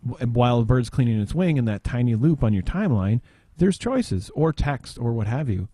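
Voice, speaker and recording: Middle-aged male podcast talker, mid pitch, dry close sound, halting and meandering casual explainer. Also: very animated, mellow, dry recording. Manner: rambling, halting explanations with trailing pauses.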